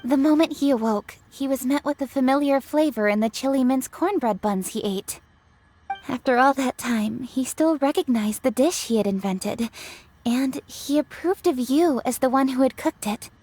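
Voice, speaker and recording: A woman's voice: high-pitched, a little mellow. A woman playing a poised, courteous young woman in a video game cutscene, speaking in a formal, earnest way. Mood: explaining earnestly. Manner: formal, measured phrasing, polite.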